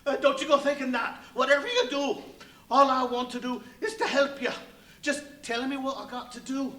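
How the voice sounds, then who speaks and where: A man's voice: extremely high pitch, normal speed, bright, forceful tone. A man playing a flustered, superstitious rustic tramp who is eager to please, in a live radio play. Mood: frightened, eager. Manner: flustered, exclaiming, folksy. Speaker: stage radio play actor. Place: live performance at a brewery venue. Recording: echoey live stage recording.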